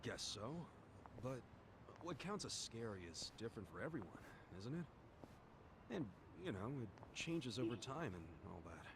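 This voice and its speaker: A man's voice: mid-range voice, big pitch swings, measured pace, background noise. An actor playing an easygoing, good-hearted ex-yakuza man in a video game, speaking informally and plainly. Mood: reflective. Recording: game music behind.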